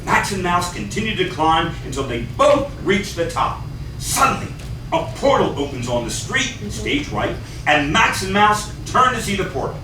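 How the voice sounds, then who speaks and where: A man's voice: high-pitched, big pitch swings, normal speed. A middle-aged man reading stage directions as scripted narration for a play. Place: small theatre stage, far camera. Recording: distant, very echoey, noisy theatre recording.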